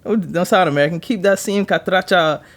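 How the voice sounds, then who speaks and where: A young man with a Bahamian accent, co-hosting a casual podcast chat in an animated, slangy way. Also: sits high, fairly quick. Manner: animated, says bro often. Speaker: podcast co-host.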